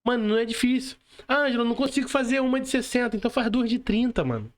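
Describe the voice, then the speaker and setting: Casting: male Brazilian podcast host, notably high register, casual and emphatic street-level phrasing. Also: expressive, racing.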